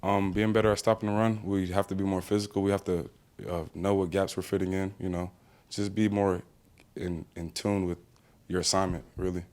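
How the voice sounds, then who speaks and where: A man's voice: sits low, flat delivery, brisk, a little mellow. A young American man and college football player, answering press questions in a casual, plainspoken way with many fillers. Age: young adult.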